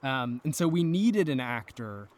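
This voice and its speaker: A man's voice: medium pitch, big pitch swings, unhurried, a little mellow. A man talking earnestly and articulately as an interview guest about his creative work in audio drama. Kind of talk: thoughtful interview talk.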